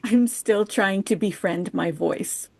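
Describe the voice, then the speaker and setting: A woman in her fifties giving a measured, poetic chapel reflection over a video call. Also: medium pitch, gravelly, a little mellow, a little hiss or hum. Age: 50s. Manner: measured, poetic, unhurried delivery. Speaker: chapel speaker. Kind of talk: reflective personal chapel talk, read aloud. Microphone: video call microphone.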